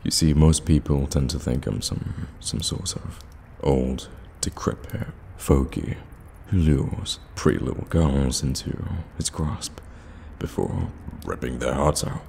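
A man performs a suave evil warlock for an ASMR audio roleplay, with an extremely low voice full of gravel. He speaks slowly and intimately, courteous yet teasing, as if coaxing a customer into a bargain. Long cave-like reverb surrounds him. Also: full character voice. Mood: silky, reassuring. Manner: soft-spoken flattery, measured and teasing. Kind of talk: ASMR roleplay, intimate direct address to the listener. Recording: cavernous reverb, ambient background noise.

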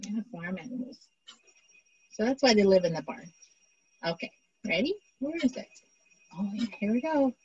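A woman on a headset video call, speaking slowly and gently to young children as their speech teacher, in a coaxing sing-song way. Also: mid-range voice, animated, breathy edge. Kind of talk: slow, simple talk to young children. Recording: muffled video-call audio.

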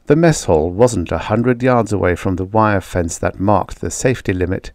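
A man with a low voice narrates an old short story in a dramatic storytelling manner. The sound is dry, without room echo, and the delivery is that of a scripted audiobook. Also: normal speed, darkish tone.

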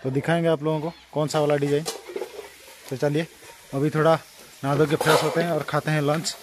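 A young man talks straight to his viewers in a relaxed, chatty way, filming himself outdoors on a phone held in his hand. Loud music plays behind his voice the whole time. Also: fairly high pitch, fairly quick. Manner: chatty, addresses viewers directly. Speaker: village vlogger. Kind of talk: casual vlog narration. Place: outdoors in a village yard.

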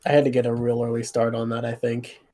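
A man's voice: medium pitch, flat delivery, dark tone. Male composer on a panel, mid-range, unwell, informal, stumbling over his words. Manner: halting, fumbles for words. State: sick. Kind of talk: casual panel Q&A talk.

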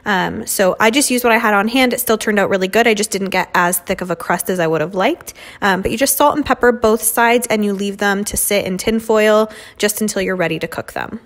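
Young woman, mid-pitched, relaxed chatty vlogger narrating her home cooking. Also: brisk, few pauses. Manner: chatty, many "um" and "like" fillers. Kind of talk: casual cooking voice-over. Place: home kitchen.

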